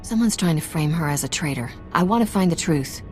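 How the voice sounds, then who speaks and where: An actress playing a cocky, hard-edged space smuggler in a video game, sardonic and blunt. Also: female voice, deep voice, expressive, a little fast, a little mellow. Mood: cool determination. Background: game music.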